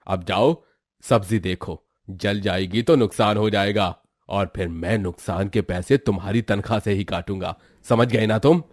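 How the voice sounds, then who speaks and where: A man's voice: lowish voice, moderate pace, darkish tone, no echo, studio quality, pitched below the performer's own voice, close to an everyday voice. A man voicing a grumpy, stingy eatery owner in a moral story, scolding and dismissive. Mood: grumpy refusal. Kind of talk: acted moral-story dialogue.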